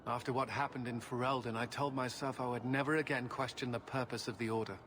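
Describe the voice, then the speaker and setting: A man acts a solemn officer of a holy knightly order in a fantasy game, formal and troubled by doubt. Middling pitch, with faint noise behind. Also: steady tone, fast, fairly bright tone, lightly acted.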